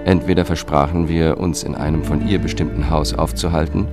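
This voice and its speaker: An actor playing a sober, world-weary investigator who tells a political thriller in a dry, measured way for radio. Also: male voice, a bass voice, steady tone. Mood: matter-of-fact. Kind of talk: scripted first-person narration and dialogue, radio drama. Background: music.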